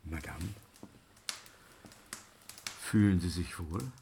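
An actor in a radio play voicing an irascible, authoritative opera director with a volatile manner. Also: male voice, deep voice, extremely slow, warm tone, slight background noise, heavily acted. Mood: wary, polite. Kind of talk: German radio play acting.

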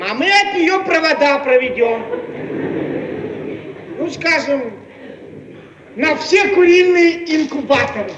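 An actor playing a brash, jovial, practical young comrade with a folksy, teasing manner in a radio play. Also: male voice, very high-pitched, a bit bright, full character voice. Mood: brisk, teasing. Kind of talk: Soviet radio play acting. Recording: echoey, faintly noisy archive radio recording.

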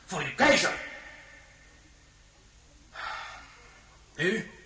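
An actor playing an imperious, mocking French emperor in a radio drama, theatrical and commanding, with pointed pauses. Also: male voice, sits high, sing-song, pushed and bright, slight background noise, heavily acted. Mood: indignant. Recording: roomy, echoing studio sound.

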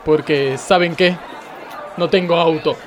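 A man's voice: a little high, steady tone, measured pace, close to an everyday voice. An Argentine man playing a pompous, exasperated, self-pitying judge in a comic radio play, declaiming melodramatically. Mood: melodramatic self-pity. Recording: crowd noise behind the voice.

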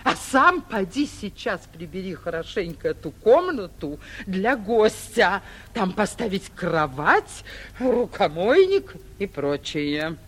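A woman's voice: medium pitch, big pitch swings, takes it slowly, breathy edge, heavily acted. A vain, middle-aged mayor's wife in a 1940s stage comedy for radio. Her intonation swoops widely as she orders, questions and fusses in a grand, imperious manner. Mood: bossy, flustered. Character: vain, bossy, curious mayor's wife and mother.

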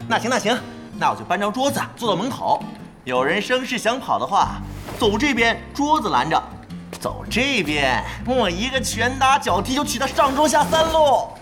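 A goofy, earnest young tough in an audio drama. He is boisterous and naive, his lively pitch jumping around, with music playing behind him. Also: normal speed. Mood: earnest, cocky.

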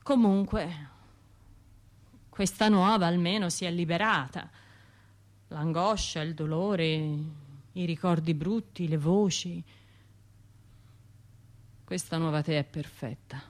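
An actress with a Tuscan accent playing a wounded, wry woman who survived a bombing, in a confiding, halting radio monologue. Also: fairly low pitch. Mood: subdued, wistful. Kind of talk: radio theatre monologue, conversational storytelling.

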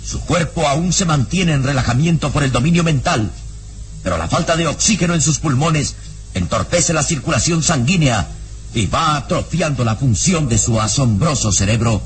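A man's voice: medium pitch, expressive, moderate pace. A man narrating a radio serial in a grave, theatrical, scripted style. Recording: radio drama with music bed.

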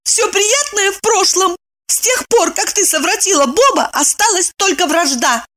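Shrill, exalted, indignant young woman. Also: lively intonation, moderate pace, sharp tone, no echo, exaggerated voice. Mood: furious. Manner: shrill, exclamatory, interrogating. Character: exalted, indignant, melodramatic young woman, scolding her friend.

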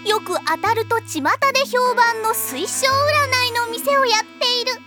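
A woman's voice: extremely high pitch, measured pace. A woman voicing a pushy teenage schoolgirl posing as a mystic fortune-teller in a comic anime drama, theatrical and pompous. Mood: mysterious, inviting. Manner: theatrical, pompous, salesy, easily flustered. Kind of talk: Japanese anime comedy audio drama acting. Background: background music.

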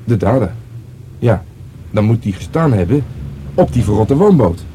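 A man's voice: on the low side, expressive, moderate pace, mellow, slight background noise, lightly acted. An actor playing a deferential younger police detective in a radio drama, tentative and earnest, repeating words as he reasons. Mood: speculating. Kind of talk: Dutch radio drama dialogue.

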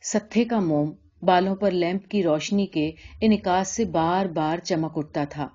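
A woman's voice: lowish voice, warm tone, lo-fi sound. A woman narrator reading a literary short story as scripted narration.

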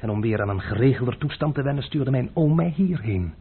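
A wry gallant adventurer. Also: male voice, mid-range voice, expressive, fairly quick, husky. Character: flippant young adventurer, flattering gallant. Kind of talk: radio drama acting. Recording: old tinny lo-fi radio recording.

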